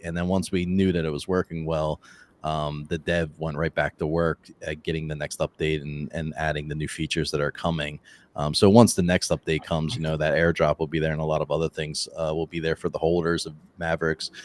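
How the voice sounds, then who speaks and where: A hoarse man hosting a casual interview, talkative and enthusiastic. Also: low voice. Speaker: podcast host.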